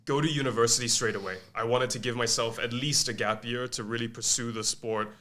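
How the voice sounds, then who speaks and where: Casting: twenties male guest, English accent, bright tone, chatty and self-deprecating. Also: medium pitch, steady tone, a little fast. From Malaysia. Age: 20s. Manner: chatty, self-deprecating, frequent ums and restarts. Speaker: guest, agency co-founder. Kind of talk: casual podcast interview.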